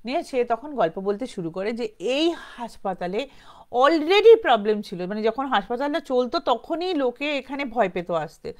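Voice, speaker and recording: A woman's voice: on the high side, big pitch swings, moderate pace. A woman tells a ghost story informally, in a rambling conversational way with English words mixed in. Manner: conversational, rambling, mixes in English words, acts out quotes lightly.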